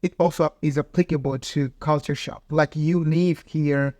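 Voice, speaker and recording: A man with a fairly high voice talks earnestly as a guest, halting now and then to find his words. His is Ndau. The recording is dry and close, with no room sound. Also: fairly even intonation, darkish tone, no room sound. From Mozambique. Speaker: guest, farmer and peace builder. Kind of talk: casual interview talk.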